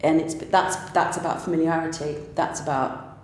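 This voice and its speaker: A woman's voice: low voice, fairly even intonation, normal speed, a little mellow. A woman, a pantomime writer and director, giving her views in an earnest, emphatic way. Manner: earnest, emphatic, occasional laugh. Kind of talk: conversational discussion. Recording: echoey room with faint background noise.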